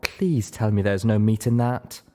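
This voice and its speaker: A man voicing a caring female pony medic, motherly and gentle but insistent. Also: on the low side, measured pace, lightly acted. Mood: eager.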